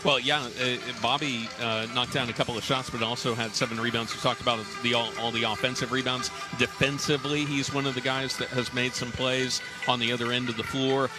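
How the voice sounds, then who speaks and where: A man's voice: medium pitch, level tone, fairly quick, hardly any pauses, low-quality recording. Man hosting a basketball halftime, music behind. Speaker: basketball radio halftime analyst and host. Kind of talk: live halftime commentary. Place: arena radio broadcast. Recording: music behind the broadcast talk.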